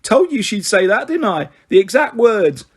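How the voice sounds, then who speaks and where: A man's voice: sits high, fairly quick, pitched above the performer's own voice. A man reading a storybook aloud and voicing a cheeky, boastful young boy. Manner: boastful, excitable.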